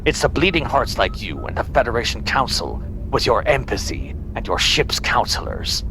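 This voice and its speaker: An actor playing a cold, bigoted, tyrannical space admiral in a sci-fi audio drama, condescending and domineering. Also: male voice, normal speed. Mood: angry contempt. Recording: steady background rumble.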